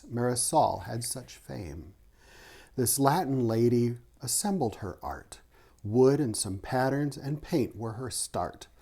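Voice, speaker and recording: Man, children's read-aloud, author and art teacher, soft-edged warm tone, animated intonation, rhythmic teacherly delivery. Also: medium pitch. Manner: rhythmic reading of rhyming verse, teacherly asides. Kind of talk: children's picture-book read-aloud with short explanations.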